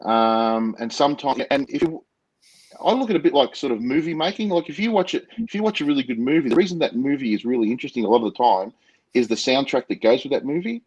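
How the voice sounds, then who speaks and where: Loud Australian man, projecting voice. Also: mid-range voice, lively intonation, quick pace, slightly rough, muffled recording. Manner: enthusiastic, spontaneous. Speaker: guest, motovlogger. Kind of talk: casual interview chat.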